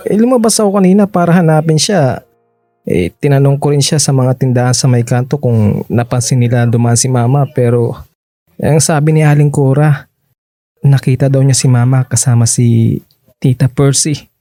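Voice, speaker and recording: An actor playing a meek, hungry teenage younger brother, speaking hesitantly in a radio drama. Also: male voice, mid-range voice, normal speed, dark tone, dry recording, close to an everyday voice. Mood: hesitant, complaining. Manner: hesitant, sheepish, starts phrases with small interjections. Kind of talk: radio drama dialogue acting. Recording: close dry studio, music underneath.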